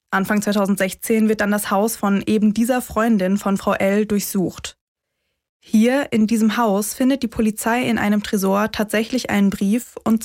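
A woman hosting a true-crime podcast, reading scripted narration in a clear, factual storytelling manner. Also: medium pitch, a little mellow. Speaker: podcast host.

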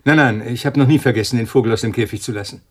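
An actor playing an uneasy, irritable scientist in a German radio thriller, speaking in a hesitant, agitated way. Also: male voice, mid-range voice, rapid-fire, natural voice. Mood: hesitant, polite. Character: uneasy, irritable male scientist living alone with budgie.

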